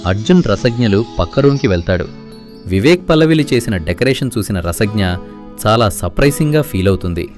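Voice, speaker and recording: A man with a low voice narrates a storybook tale in a scripted style. Soft guitar music plays faintly behind him. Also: lively intonation, brisk.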